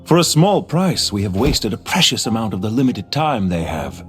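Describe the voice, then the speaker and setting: Calculating banker, varied intonation. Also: male voice, fairly low pitch, big pitch swings, soft background music. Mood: cool, calculating. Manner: formal, measured, precise. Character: cool calculating aristocratic male banker, exacting and cynical. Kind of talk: video game voice acting.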